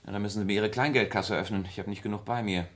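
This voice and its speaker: An actor playing a coolly businesslike male office boss in a German crime radio play, brisk and offhand. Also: lowish voice, fairly even intonation, close to an everyday voice.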